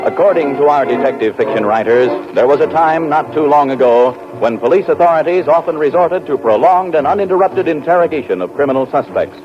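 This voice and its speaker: A man's voice: a little high, lively intonation, a little fast, hardly any pauses. A man announcing and narrating a 1940s radio sitcom in an emphatic style. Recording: dull lo-fi 1940s radio broadcast. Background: orchestra music.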